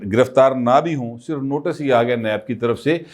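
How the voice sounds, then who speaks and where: Guy talking politics to camera, casual, hesitant fillers, average pitch. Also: a little mellow. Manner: conversational, many filler pauses. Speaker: political commentator. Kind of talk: solo political commentary.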